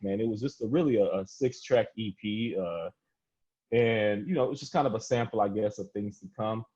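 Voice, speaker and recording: A man's voice: lowish voice, darkish tone, muffled recording. A man with an American accent hosting a casual round-table music podcast, talking in profane slang. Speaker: podcast host.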